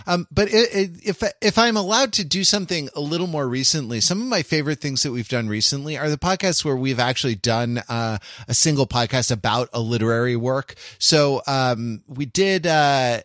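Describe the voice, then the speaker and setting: A man hosting a casual panel podcast, talking in a halting, rambling way and often interrupting himself. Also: mid-range voice, fairly bright tone, dry recording, studio quality. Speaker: podcast host.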